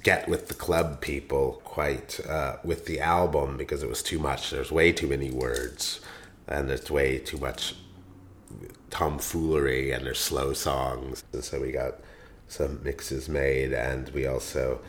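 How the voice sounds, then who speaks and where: Very deep-voiced man, rambling musician. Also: unhurried. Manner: rambling, animated storytelling with many fillers. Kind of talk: interview storytelling.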